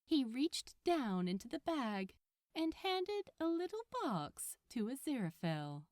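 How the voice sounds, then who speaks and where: A woman reading fan fiction aloud as an expressive story narrator. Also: high-pitched, a little slow, no echo, studio quality. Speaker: podfic narrator.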